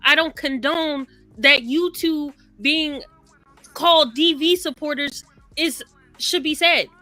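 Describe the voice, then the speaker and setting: A woman's voice: high-pitched, slow, sharp tone, dry recording, faint music behind. A woman on a panel defending herself and questioning why she is being corrected. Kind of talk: heated panel debate.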